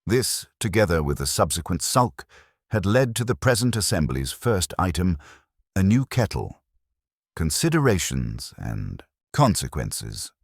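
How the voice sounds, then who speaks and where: A man reading a scripted mystery story in a dry, arch, measured manner. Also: low voice, big pitch swings, vocal fry, slightly rough, no echo. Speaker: narrator.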